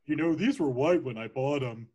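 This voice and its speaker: A man's voice: mid-range voice, moderate pace, mellow, lightly acted. A man voicing a simple, friendly cartoon starfish in a table reading, on lo-fi audio. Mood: affectionate. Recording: dull lo-fi audio.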